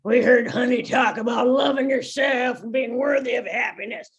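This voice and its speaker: An actor voicing a resentful, theatrical villain, the declamatory leader of discarded pets in an animated comedy. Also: medium pitch, moderate pace, highs cut off, natural voice. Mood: righteous anger. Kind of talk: table read of animated comedy script.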